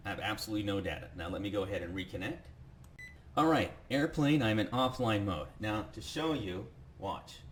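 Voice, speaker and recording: A man presenting a software demo as a casual, enthusiastic sales pitch, talking the viewer through each step. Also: expressive, fairly quick, a little hiss or hum.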